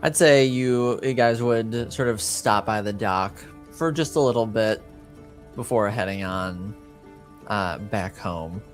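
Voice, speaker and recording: A man improvising narration as game master of a tabletop game, speaking hesitantly with many pauses. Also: lowish voice, faint music behind.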